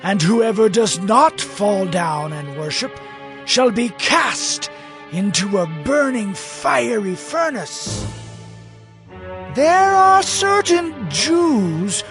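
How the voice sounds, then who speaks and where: An actor, a man, playing a scheming accuser at court who flatters the king with drawn-out, theatrical declaiming in a scripture audio drama. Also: high voice, full character voice. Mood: obsequious accusing. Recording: music under the voice.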